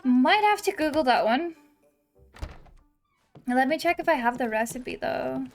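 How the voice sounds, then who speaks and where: High-voiced young woman chatting through a game. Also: expressive, unhurried, creaky, faint music behind. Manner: chatty, thinking out loud. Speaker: gaming YouTuber. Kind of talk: casual let's play commentary.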